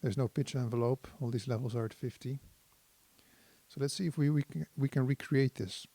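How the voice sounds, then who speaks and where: A man's voice: mid-range voice, steady tone, fairly quick, warm tone, no room sound. A man giving a casual hands-on tutorial, talking as a presenter who thinks aloud while he works. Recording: synthesizer tones behind the voice. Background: synthesizer sounds.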